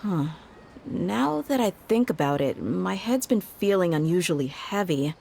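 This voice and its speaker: A woman's voice: on the low side, sing-song, measured pace, creaky, warm tone, a little hiss or hum, heavily acted. An actress playing a sceptical, defiant young woman with a blunt, wry manner in a video game. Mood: pondering. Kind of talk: English video game voice acting.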